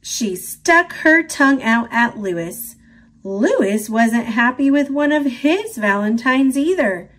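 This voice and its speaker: A woman reading a children's picture book aloud in a gentle, expressive manner. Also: high-pitched. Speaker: storybook reader.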